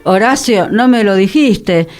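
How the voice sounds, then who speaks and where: A woman's voice: medium pitch, lively intonation, measured pace, a little mellow. A woman with a Rioplatense Argentine accent in casual radio discussion, speaking as a host.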